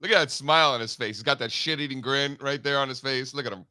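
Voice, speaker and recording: A man's voice: mid-range voice, fairly even intonation, quick pace, sharp tone, clean tone, no room sound. A man co-hosting a markets show, bantering and joking with an announcer-like radio voice. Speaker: co-host. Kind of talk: casual chat.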